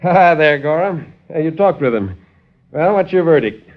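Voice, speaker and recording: Radio drama role for a male actor: brisk army medic, raised pitch, hearty and genial, dull vintage sound. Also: expressive, lightly acted. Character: brisk, genial male military doctor, sympathetic colleague. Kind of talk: 1950s radio drama acting. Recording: muffled 1950s radio recording.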